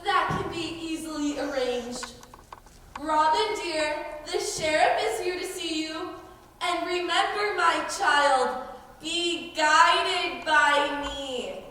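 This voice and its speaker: In a cavernous, echoing hall, a sly fake nun acted by a young woman preaches with mock piety. The voice is very high and bright, and every line is slow and deliberate, in stage-comedy style. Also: heavily acted. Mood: sweetly commanding. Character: sly, sanctimonious woman posing as a pious nun. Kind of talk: amateur stage comedy acting. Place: high school play on a stage. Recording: echoey hall from far off, faint noise.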